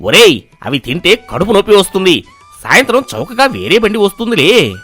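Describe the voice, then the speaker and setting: A man's voice: high voice, very animated, fairly quick, a bit bright, slightly rough, soft background music, heavily acted. A man voicing a stingy, scheming old grandfather in a moral story, bossy and dismissive as he haggles. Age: older. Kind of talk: animated moral-story voice acting.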